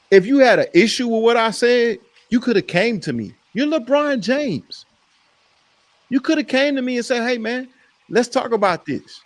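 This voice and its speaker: An American man with a high voice for a male speaker hosts a heated sports debate. His delivery is very animated and emphatic, hammering phrases by repetition. The recording is dry and close. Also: dry recording. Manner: emphatic, repeats phrases for effect. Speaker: host of a sports debate show.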